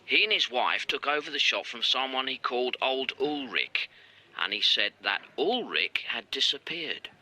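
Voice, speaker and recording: A man's voice: medium pitch, lively intonation, normal speed, sharp tone, low-quality recording. An actor playing a dutiful junior police detective in a radio drama, reporting to his chief in a matter-of-fact manner. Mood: businesslike.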